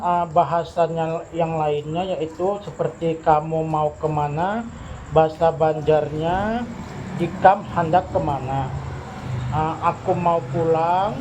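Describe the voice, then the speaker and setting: Middle-aged male interviewee, slightly high-pitched, unhurried and halting explainer, noisy ambient recording. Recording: noisy recording with vehicle sounds behind.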